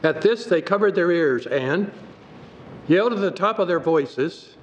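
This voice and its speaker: An older man, a pastor, delivering a sermon at a pulpit in a church, reading scripture aloud from his notes in a measured way. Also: fairly high pitch, lively intonation. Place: church sanctuary. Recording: dull hall recording with faint noise.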